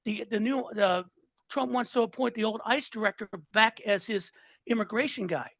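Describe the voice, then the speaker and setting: A man's voice: high-pitched, moderate pace, creaky, a bit bright, no room sound. Fired-up man in his sixties. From Southern California. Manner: fired up, repeats and restarts words. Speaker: listener guest. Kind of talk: casual political chat.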